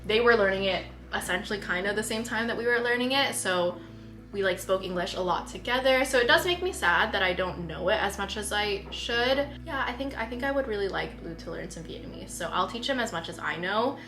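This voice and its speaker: A woman's voice: mid-range voice, animated, a little fast, sharp tone. A young woman from North America in a casual, chatty vlog conversation with her partner, speaking informally with lots of "like". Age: young adult. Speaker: parenting vlogger. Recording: roomy echo, faint music behind.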